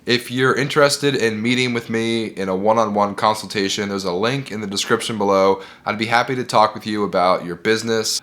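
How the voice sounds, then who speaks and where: A man's voice: fairly low pitch, fairly bright tone. A man who is sick and congested, giving earnest, encouraging business advice straight to camera and repeating his key points. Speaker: bookkeeping business owner and video host.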